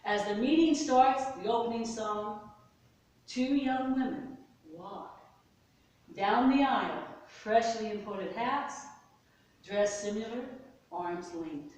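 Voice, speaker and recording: A soft-spoken woman narrates folk tales, her pitch jumping up at surprising turns. She sounds far from the microphone in a very echoey hall. Her voice sits a little high for a woman. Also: slow pace, pauses often. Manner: soft-spoken, animated storytelling with sudden pitch lifts. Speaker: storyteller. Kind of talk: oral storytelling to a live audience.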